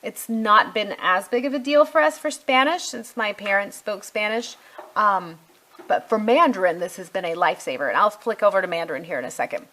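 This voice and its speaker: A woman's voice: medium pitch, a little fast, bright, forceful tone, poor audio. A middle-aged woman giving a casual product walkthrough as a reviewer, conversational and thinking aloud as she goes. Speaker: homeschool curriculum reviewer.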